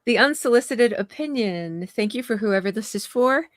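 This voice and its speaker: A woman's voice: on the high side, lively intonation, moderate pace, no echo, clean studio sound. Nasal woman, stuffy from allergies. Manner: laughs often, teasing. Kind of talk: casual livestream chat.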